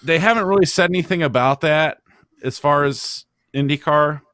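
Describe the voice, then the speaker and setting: A man hosting a motorsport podcast, giving his opinions in casual, halting talk as he thinks aloud. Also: on the high side, a bit bright, dry recording, studio quality. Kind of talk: casual racing discussion, opinionated.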